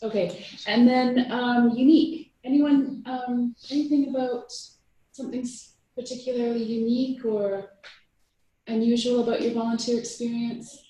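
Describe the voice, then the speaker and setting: A woman's voice: fairly high pitch, fairly even intonation, moderate pace, mellow. A woman hosting a workshop round table in a chatty, friendly way, laughing now and then. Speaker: workshop host. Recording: echoey room, mic far off, faint noise.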